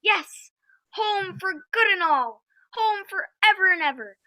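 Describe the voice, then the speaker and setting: Very high, bright little girl played by a woman. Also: lively intonation, unhurried, no echo, full character voice, low-quality recording. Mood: joyful, loving. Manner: gushing, tender. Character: sweet, eager, affectionate little girl, younger sister. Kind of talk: radio play acting.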